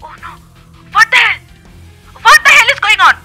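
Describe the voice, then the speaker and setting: A woman's voice: very high voice, animated, very slow, heavily acted. A woman playing a commanding boss of a sniper in an audio thriller, speaking urgently and with alarm. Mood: panicked. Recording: background music under the voice.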